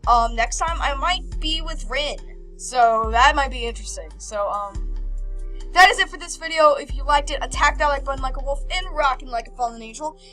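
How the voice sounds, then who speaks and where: A woman hosts a playthrough of a visual novel over soft game music. Her voice is fairly high and very bright. She reads the text aloud in an animated, casual way, often repeating a word as she goes. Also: normal speed. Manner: animated, often repeating and stumbling over words while reading. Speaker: host of a video game playthrough. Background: game background music.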